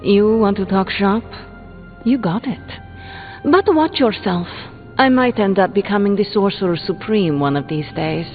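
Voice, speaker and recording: An actress playing a cocky, playful young sorceress in a video game, casual and teasing. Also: female voice, mid-range voice, unhurried, phone-quality sound, faint music behind, natural voice. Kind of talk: scripted video game dialogue.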